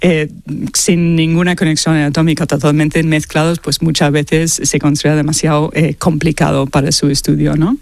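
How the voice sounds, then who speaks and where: A man in his late thirties with an Australian accent and a fairly high voice, recorded dry and close. A native English speaker, he gives expert interview answers haltingly, repeating words as he searches for the next one. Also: flat delivery, creaky, darkish tone, dry recording. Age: late 30s. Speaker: guest expert, archaeologist.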